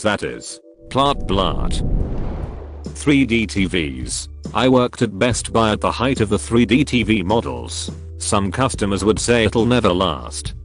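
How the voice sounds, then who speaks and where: Man, low voice, lively pitch, dry reading of reader stories, soft music behind. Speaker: narrator reading online posts. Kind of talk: scripted narration of reader stories.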